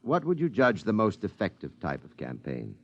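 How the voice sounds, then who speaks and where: An actor playing a composed Martian client in a 1950s radio drama, speaking with precise, formal, deliberate diction. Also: male voice, on the low side, wildly swooping pitch, no echo, exaggerated voice. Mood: businesslike. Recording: muffled vintage radio recording.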